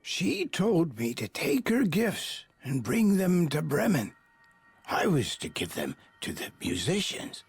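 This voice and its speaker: An actor playing a frail, weary old traveling puppeteer in a game cutscene, halting and pleading, with a husky, creaky voice. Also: male voice, on the high side, no room sound, exaggerated voice. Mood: haunted, relieved. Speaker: street performer character. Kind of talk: video game cutscene acting.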